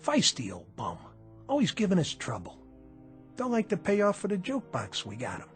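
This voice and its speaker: Sneering gangster boss, lively delivery. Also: male voice, sits high, normal speed, faint music behind. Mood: coldly menacing. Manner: mocking, taunting, streetwise tough-guy talk. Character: menacing, sneering male gangster boss bullying his underling. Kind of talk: radio drama acting.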